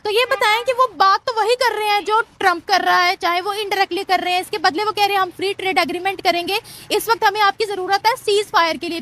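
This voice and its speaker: A woman's voice: very high voice, bright, forceful tone. A woman with a Pakistani accent doing political street interviews, asking long, probing, leading questions. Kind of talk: vox pop political interview.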